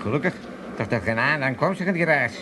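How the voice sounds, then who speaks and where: An actor playing a cranky, cheeky old Amsterdam father in a comic radio drama, with a husky voice. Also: medium pitch, expressive, a little fast, lightly acted. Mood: cheeky grumbling.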